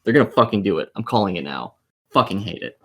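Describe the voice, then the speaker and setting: Low-voiced man ranting about games. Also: expressive, quick pace. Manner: sweary, exasperated, emphatic. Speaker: gaming podcast co-host. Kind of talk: heated opinion rant in a casual group chat. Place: remote voice call over the internet.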